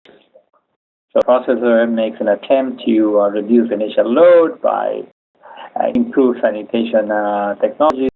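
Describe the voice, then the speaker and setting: A man from Chile giving a webinar lecture, speaking haltingly with many "uh" fillers. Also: on the low side, gravelly. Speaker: engineering lecturer. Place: home office. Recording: tinny phone-like audio.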